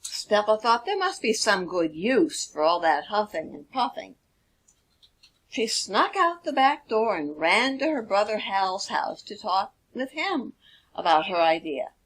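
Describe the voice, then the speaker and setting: A woman narrating a children's storybook expressively, with deliberate, dramatic emphasis. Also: mid-range voice, big pitch swings, a bit bright, husky, rough recording. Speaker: author reading own children's book.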